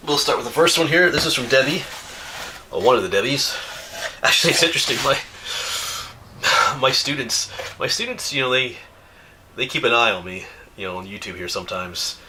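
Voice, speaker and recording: A man's voice: mid-range voice, lively intonation, brisk, pauses often, sharp tone, slight background noise. A man, sniffly from a cold, chatting casually and rambling as he opens viewer mail at home on camera, laughing often. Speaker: outdoors YouTuber reading viewer mail. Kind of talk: casual chat. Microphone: camera mic.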